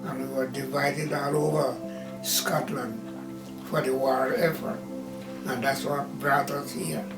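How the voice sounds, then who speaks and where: A man over a hundred years old, from Belize, slowly recalling his memories in an interview. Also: medium pitch, flat delivery, over loud music. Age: 100s. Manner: slow, reminiscing, gentle. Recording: roomy, from a distance.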